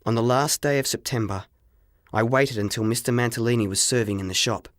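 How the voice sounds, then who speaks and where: A male storyteller reads a first-person story in a dramatic, expressive way. His voice sits at a middling pitch. The sound is very dry, with little room around it. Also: a little fast, no room sound. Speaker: audiobook narrator.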